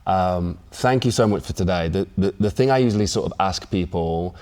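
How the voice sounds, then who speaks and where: A man with a very low voice hosts an interview. He asks his questions in a loose, informal way and reacts with open enthusiasm. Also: fairly quick, darkish tone. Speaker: interview host. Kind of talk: conversational interview.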